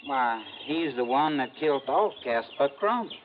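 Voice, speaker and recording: A man's voice: mid-range voice, animated, measured pace, natural voice. An actor playing a folksy backwoods man with a rural Southern American drawl in a 1950s radio drama. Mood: warning, neighborly. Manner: folksy drawl with rustic grammar. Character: backwoods moonshine-country man, folksy, protective, sweet on a widow. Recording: dull, noisy vintage radio recording.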